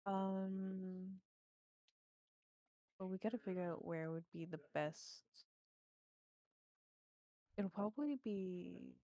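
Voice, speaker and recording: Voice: mid-range voice, takes it slowly, pauses often, dark tone, dry recording, lo-fi sound. A player in a tabletop roleplaying game, casually talking through plans with the group.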